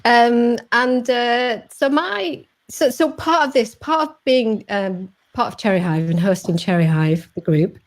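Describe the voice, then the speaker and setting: A woman's voice: fairly high pitch, a little slow, hardly any pauses. Chatty Sheffield-accented woman hosting a live chat. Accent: Sheffield English. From England. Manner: chatty, with many fillers and restarts. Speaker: host. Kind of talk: Facebook Live interview.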